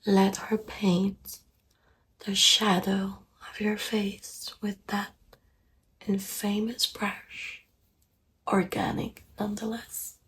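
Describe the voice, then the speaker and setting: A woman playing a calm, soft-spoken therapist in a deadpan ASMR meditation roleplay, hushed and breathy, often dropping into a whisper. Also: mid-range voice. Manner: slow, soothing, hushed, deadpan. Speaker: ASMR performer.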